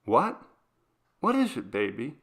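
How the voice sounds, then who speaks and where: A man's voice: mid-range voice, huge pitch swings, takes it slowly, creaky, exaggerated voice. A man voicing an anxious, tender mother comforting a child in a story reading. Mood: worried, tender.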